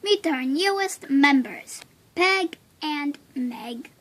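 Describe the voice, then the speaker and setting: A woman's voice: high-pitched, expressive, slow pace, a bit bright, full character voice. A woman voicing an encouraging, instructive female troop leader in a children's storybook. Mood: welcoming, instructive.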